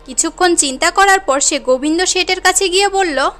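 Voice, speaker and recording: A woman's voice: very high-pitched, brisk, a bit bright, soft background music. A woman narrating a moral cartoon tale in an earnest, dramatic storytelling manner.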